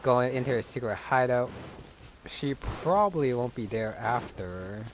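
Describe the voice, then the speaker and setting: A man giving casual, musing gameplay commentary in a low-key way. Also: animated, a little slow. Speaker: gamer commentator. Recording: clear background noise, game ambience.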